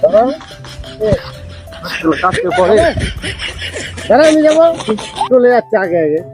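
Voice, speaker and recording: A man's voice: sits high, very animated, unhurried, music in the background, rough recording. A man playing a stingy, grumbling old village grandfather in a comedy skit, scolding and repeating himself in a North Bengal accent. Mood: scolding, impatient. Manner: repetitive, scolding, exclamatory. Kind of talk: amateur comedy skit acting.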